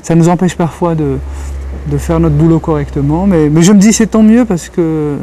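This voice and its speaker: Thoughtful man, mellow voice. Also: on the high side, moderate pace, slight background noise, rough recording. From Algeria. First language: Kabyle. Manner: thoughtful, searching for words. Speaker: interviewee, writer and journalist. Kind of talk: reflective interview talk.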